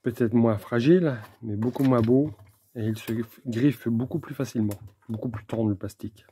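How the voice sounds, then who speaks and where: Mellow-voiced man explaining, noisy recording. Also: medium pitch. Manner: halting, methodical, in short phrases. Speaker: presenter of a technical demonstration video. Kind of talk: unscripted hands-on explanation. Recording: clear background noise with clicks.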